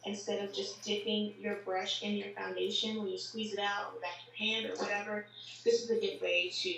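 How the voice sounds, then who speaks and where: A woman with a slightly raspy, tired voice talks through a makeup tutorial in a loose, chatty way, full of fillers. She sounds set back from the mic in a very echoey room. A faint hiss sits underneath. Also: medium pitch, flat delivery, brisk, a bit bright, recorded from a distance. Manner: chatty, filler-heavy, explaining as she works. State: tired voice after a workday. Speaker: makeup vlogger.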